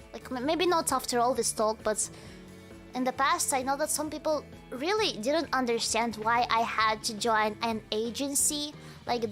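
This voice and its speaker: A young woman streamer with a hard-to-place mixed accent, chatting casually to her viewers with lots of fillers and laughter. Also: a little high. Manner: talks to chat, frequent laughter and fillers. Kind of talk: casual livestream chat.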